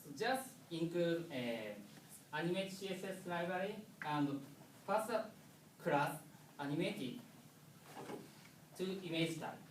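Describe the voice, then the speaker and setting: A man from Japan with a Japanese accent, a non-native English speaker giving a technical conference presentation with halting, pause-filled phrasing. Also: fairly high pitch, animated. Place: lecture room. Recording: echoey room, distant mic, faint noise.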